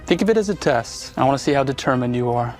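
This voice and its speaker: An actor playing a commanding, aloof duke in an audio drama, with a dry, curt, sardonic manner and music behind him. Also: male voice, mid-range voice, animated, quick pace, close to an everyday voice. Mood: cool, commanding. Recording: music under the voice.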